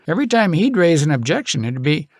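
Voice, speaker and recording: A man narrating a tall tale as an audiobook, in a folksy, expressive storytelling manner. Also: fairly high pitch, quick pace, no echo, clean studio sound.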